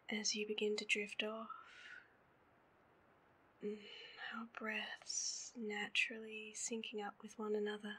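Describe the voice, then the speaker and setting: An Australian woman playing a sleepy, needy, affectionate girlfriend in an intimate ASMR roleplay, drowsy and murmuring, with a husky, breathy voice. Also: mid-range voice, full character voice. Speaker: voice actor.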